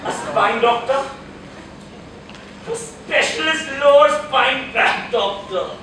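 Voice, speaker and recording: A man's voice: extremely high pitch, expressive, takes it slowly, pushed and bright, full character voice. A young man acting a short-tempered, exasperated husband in a live stage play. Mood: pained, desperate. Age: young adult. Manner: exasperated, ranting, melodramatic. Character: frantic, short-tempered American husband, exasperated and self-pitying. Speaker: student stage actor. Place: auditorium stage. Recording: echoey noisy auditorium, recorded from far off.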